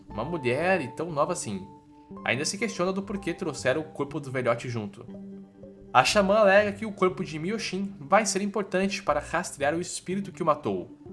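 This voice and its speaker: Brazilian male narrator, slightly high voice, lively dramatic recap delivery with casual asides, over music. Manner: colloquial storytelling with casual asides.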